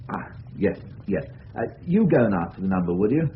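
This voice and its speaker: A man's voice: low-pitched, very animated, brisk. An actor playing a chummy, opinionated, old-fashioned middle-aged English father in a 1930s radio drama. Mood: chummy, rueful. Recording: noisy tinny old radio recording.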